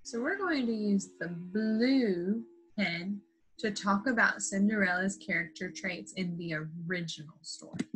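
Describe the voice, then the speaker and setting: A woman teacher leading an interactive lesson for young children after a read-aloud, in simple, patient phrases with questions and drawn-out key words. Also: mid-range voice, a bit bright, faint music behind, low-quality recording. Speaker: primary school teacher.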